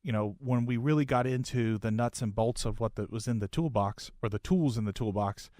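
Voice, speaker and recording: A man's voice: medium pitch, brisk, a little mellow, no room sound. Male radio host, dry close sound. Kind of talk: conversational radio interview.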